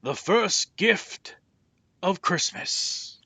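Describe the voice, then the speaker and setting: A man voicing a jolly old Santa Claus in a storybook, loud and hearty, drawing out his words. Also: sits high, animated, vocal fry, husky, no room sound, clean studio sound, voice pushed up, full character voice. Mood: triumphant calling out. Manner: hearty, loud, drawn-out proclaiming.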